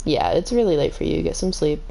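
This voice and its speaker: A woman streaming a game, chatting casually with viewers, her voice a little hoarse and scratchy, kept calm and low. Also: fairly quick. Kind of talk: casual gaming stream chat.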